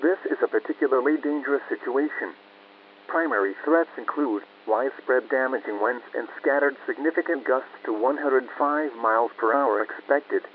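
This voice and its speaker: A man's voice: medium pitch, moderate pace. Tinny radio weather announcer, a man. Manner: formal, impersonal. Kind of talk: scripted weather watch bulletin. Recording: tinny phone-like weather radio, faint noise.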